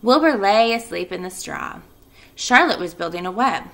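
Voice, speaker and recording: A woman's voice: mid-range voice, lively intonation, moderate pace, creaky, a bit bright. A woman speaking as an elementary school teacher, addressing and reading to children. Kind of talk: children's read-aloud and casual class announcements.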